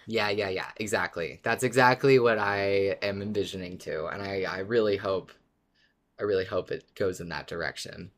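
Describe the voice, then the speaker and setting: A man's voice: low-pitched, normal speed, a bit bright. A man co-hosting a podcast in casual fan discussion, playful and often repeating words for emphasis. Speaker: podcast co-host.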